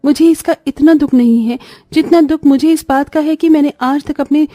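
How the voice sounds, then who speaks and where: A woman's voice: high-pitched, normal speed, mellow, dry recording, clean studio sound, natural voice. A woman playing a poor, self-sacrificing young mother in a cartoon story, earnest and plaintive. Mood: sorrowful. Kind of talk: Hindi cartoon story voice acting.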